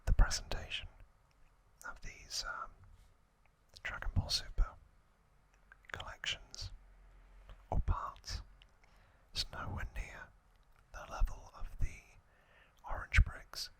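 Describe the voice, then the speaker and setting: A man hosting an ASMR video, whispering softly and deliberately into a close microphone. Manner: slow, deliberate, soft whispering. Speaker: ASMR video creator and host.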